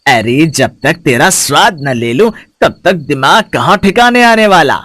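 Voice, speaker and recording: Man acting lecherous village villain, wildly animated. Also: on the high side, unhurried, exaggerated voice. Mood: leering, taunting. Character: lecherous shameless young male village villain. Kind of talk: acted audio story dialogue.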